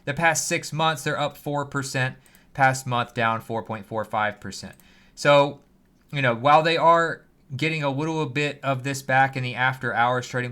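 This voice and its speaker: A man's voice: medium pitch, pushed and bright. A man giving conversational finance commentary as a host, in an opinionated, plain-spoken, emphatic way. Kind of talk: conversational stock market commentary.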